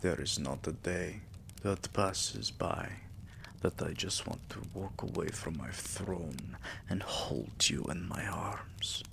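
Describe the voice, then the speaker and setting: Male actor as doting vampire king, gravelly half-whisper, extremely slow, soothing, possessive, intimate ASMR roleplay. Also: low voice, fairly bright tone, exaggerated voice. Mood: loving, earnest. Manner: slow, soothing, affectionate murmuring.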